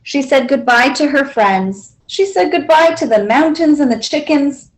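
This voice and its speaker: Woman storyteller for children's picture books, high voice, lively intonation. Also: moderate pace, rough recording. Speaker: library storyteller. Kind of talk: children's picture book read-aloud.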